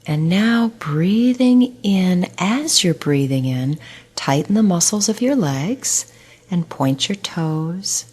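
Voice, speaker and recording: Soft breathy middle-aged woman, nurse doing guided relaxation, low voice, half whispering. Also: animated. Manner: soft, slow, soothing, often near a whisper.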